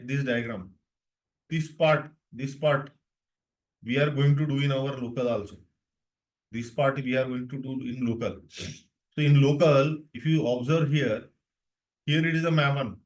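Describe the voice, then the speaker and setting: A man's voice: mid-range voice, low-quality recording. Indian man teaching an online tech class. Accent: Indian English. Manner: repetitive, checks in with students often. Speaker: DevOps instructor. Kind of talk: live online tech lesson.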